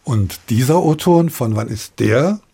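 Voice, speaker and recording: A man's voice: mid-range voice, animated, a little slow, gravelly, dark tone, slight background noise. A man hosting a radio interview, putting questions and introducing clips. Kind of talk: moderated interview.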